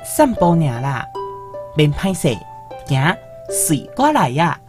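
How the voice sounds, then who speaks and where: A woman with a Taiwanese accent playing an earnest, romantic young male student who coaxes gently in a radio drama. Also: sits low, very animated, takes it slowly, over music, heavily acted. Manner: coaxing, ardent.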